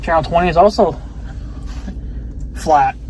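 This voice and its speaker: High-voiced American man, grumbling and rambling. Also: a little slow, a little mellow, a little hiss or hum, poor audio. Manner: resigned, grumbling, swears. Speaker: vlogger. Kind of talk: rambling casual vlog talk.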